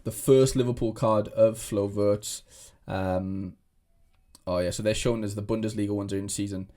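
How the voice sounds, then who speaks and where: A man's voice: on the low side, fairly even intonation, moderate pace, mellow. Rambling young man. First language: Welsh. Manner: rambling, many restarts and fillers. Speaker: fantasy football content creator. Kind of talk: casual chat to camera.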